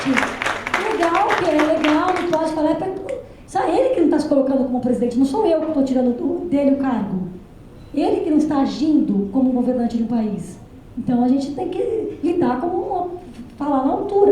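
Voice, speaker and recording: A woman's voice: high-pitched, warm tone. A woman in a casual discussion after a film screening, talking emphatically in short repeated phrases. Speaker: actress and theatre director, panel guest. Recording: very echoey hall, some noise.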